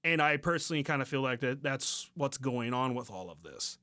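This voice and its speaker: A man co-hosting a podcast, talking casually and slangily with halting, repeated restarts. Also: mid-range voice, animated, pushed and bright, no room sound, clean studio sound. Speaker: podcast co-host. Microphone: close mic on a remote recording call.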